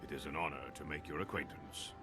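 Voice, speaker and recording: A man's voice: very deep voice, fairly even intonation, fast talker. An actor playing a haughty, suspicious nobleman in a video game, speaking in a formal, wordy, pompous way. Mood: stiffly gracious. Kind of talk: video game cutscene acting. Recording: game soundtrack music behind.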